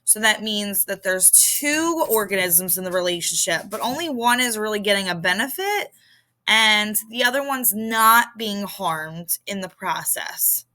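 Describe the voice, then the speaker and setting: A woman's voice: mid-range voice, expressive, measured pace, pushed and bright. A woman with a Philadelphia accent giving an informal lesson as a teacher, explaining things conversationally and speaking directly to students. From Philadelphia area, United States. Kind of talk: informal recorded vocabulary lesson.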